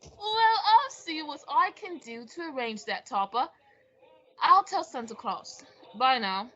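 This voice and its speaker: Fretful comic male sidekick woman actor, sharp high voice, fussy, dramatic radio-play exclamations. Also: sing-song, takes it slowly, full character voice. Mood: cheery. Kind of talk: old-time radio drama acting. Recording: dull lo-fi audio.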